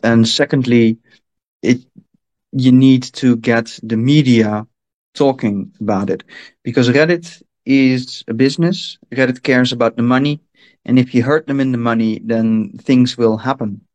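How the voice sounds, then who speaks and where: An English man with a croaky voice, talking in a casual group voice chat as a Reddit moderator and stumbling over restarts. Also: medium pitch, darkish tone, lo-fi sound. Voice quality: croaky, gravelly voice. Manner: halting, restarts often.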